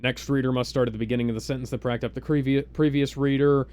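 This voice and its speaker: Guy hosting a livestream, regular pitched voice, kinda rambly and halting, explaining stuff casually w/ mock serious asides. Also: fairly even intonation, a little mellow, clear, resonant tone. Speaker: host of a live stream. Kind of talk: casual live introduction, explaining party game rules.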